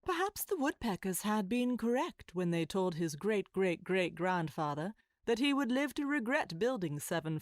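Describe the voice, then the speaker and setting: A woman narrating a children's story from a script, with expressive, literary phrasing. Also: fairly low pitch, no echo, studio quality. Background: music.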